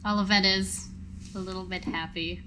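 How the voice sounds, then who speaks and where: Casual woman, medium-pitched. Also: steady tone, normal speed, bright, forceful tone, a little hiss or hum, rough recording. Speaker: player on tabletop podcast. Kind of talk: casual chat.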